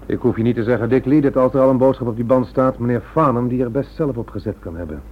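An actor playing a dryly dismissive police inspector in a radio play, official and sceptical in manner. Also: male voice, medium pitch, fast, close to an everyday voice. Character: brisk, sceptical, stalling male police inspector. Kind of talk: radio drama acting. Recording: dull old radio recording, faint noise.

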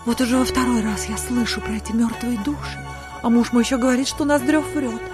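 A woman's voice: on the high side, fairly even intonation, brisk, music in the background, natural voice. An actress playing an opinionated, scornful provincial society lady, arch and insistent, in an audio drama of classic literature. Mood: puzzled, probing.

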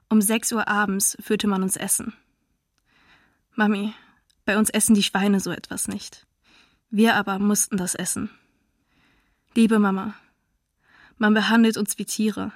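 A woman's voice: mid-range voice, a little slow, pauses often, no room sound, clean studio sound. A woman playing a humiliated young forced labourer writing to her mother, restrained, in a radio docudrama. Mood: subdued, wounded. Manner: restrained, plain, even. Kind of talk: radio docudrama letter reading.

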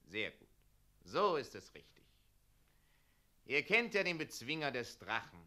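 An actor playing a fussy, officious town mayor in a radio play, pedantic, bossy and unctuous. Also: male voice, mid-range voice, sing-song, a little slow, bright, forceful tone. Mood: bossy coaching.

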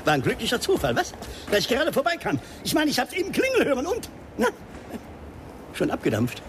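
A man's voice: very high voice, huge pitch swings, fairly quick, noisy background, exaggerated voice. An actor playing a chatty, nosy male passer-by who rambles eagerly in a German radio crime drama. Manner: garrulous, rambling, ingratiating.

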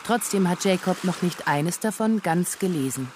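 A woman narrating a literary radio drama in a deliberate, observational way. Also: on the low side, animated, noisy background.

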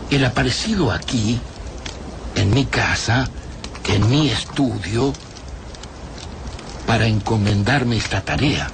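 A man's voice: medium pitch, noisy background. A man narrating a radio drama prologue in a solemn, ominous manner.

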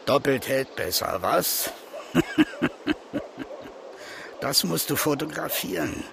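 An actor playing a stubborn, excitable old Ukrainian village man in a radio drama, defiant and dismissive. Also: a little high, slow, vocal fry, background noise, exaggerated voice. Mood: excitedly insistent. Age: older.

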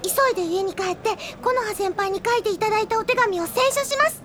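Very high, bubbly schoolgirl. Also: quick pace, a loud crowd. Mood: grateful, eager. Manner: breathlessly gushing, polite and childlike. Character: bubbly, earnest, lovestruck teenage schoolgirl junior. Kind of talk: anime-style audio drama acting. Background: background music.

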